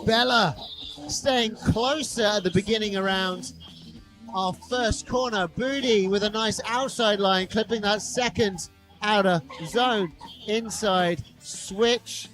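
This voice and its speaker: Man, high voice, live motorsport commentary, excitable, loud music behind. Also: takes it slowly, low-quality recording. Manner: excitable, jokey asides between calls. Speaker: drift event commentator.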